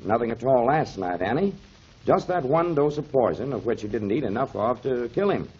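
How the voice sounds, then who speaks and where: Man acting a kindly, animated old-time radio storyteller. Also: medium pitch, normal speed, a little hiss or hum, close to an everyday voice. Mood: easygoing. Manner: avuncular, explanatory, emphatic. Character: kindly grown man, storytelling mentor to children, pipe smoker. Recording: muffled 1930s radio recording.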